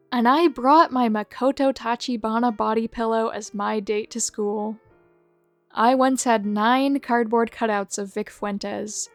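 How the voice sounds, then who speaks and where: A woman hosting a video who reads out short fan confessions one after another in a brisk, matter-of-fact way. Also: a little high, dry recording. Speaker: host reading viewer submissions. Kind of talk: reading aloud a list of fan confessions.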